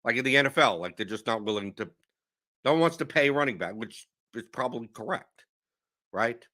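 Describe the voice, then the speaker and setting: A young man, hoarse with a sore throat, hosting a casual fantasy sports livestream, rambling and cutting himself off. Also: medium pitch, a little fast. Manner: animated, rambling, self-interrupting. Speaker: host of a fantasy sports stream.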